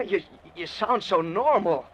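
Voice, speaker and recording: A man's voice: sits high, expressive, unhurried, a bit bright. A man playing a nervous, hesitant stranded husband in an old-time radio suspense drama. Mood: uneasy, sheepish. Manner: hesitant, stammering. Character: nervous stranded husband alone in a haunted house. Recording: muffled, noisy old radio recording.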